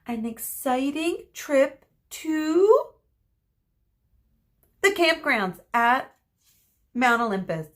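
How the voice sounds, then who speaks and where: Woman acting out a theatrical, slow, menacing schoolteacher. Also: sits high, sing-song, a bit bright, pitched above the performer's own voice, exaggerated voice. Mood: teasing suspense. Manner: drawn-out dramatic pauses, exclaiming.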